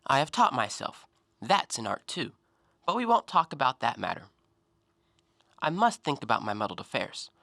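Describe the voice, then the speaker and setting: An actor playing a blunt, self-assured, observant intellectual in an audio play, speaking in an emphatic, accusing way. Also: male voice, medium pitch, sing-song, moderate pace, creaky, pauses often, sharp tone, dry recording, studio quality, heavily acted. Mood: brisk, dismissive. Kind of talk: stage-play dialogue in an audio drama.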